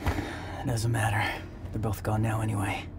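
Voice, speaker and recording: A young man playing a brash, irritable demon hunter in a video game cutscene, gruff and sarcastic. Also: lowish voice, monotone, normal speed, over loud music, natural voice. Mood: subdued. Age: young adult.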